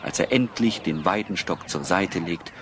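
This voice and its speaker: A man narrating a German children's audio play as a storyteller, in measured, scripted sentences. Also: low-pitched, a bit bright. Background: music.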